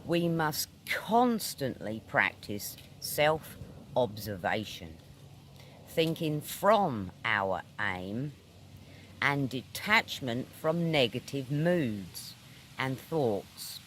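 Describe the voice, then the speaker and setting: A man with a British accent reading a scripted instructive text in a measured, deliberate manner, pausing between short phrases. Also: medium pitch, very animated, a bit bright, a little hiss or hum. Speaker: audiobook reader. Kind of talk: scripted narration of a metaphysical article.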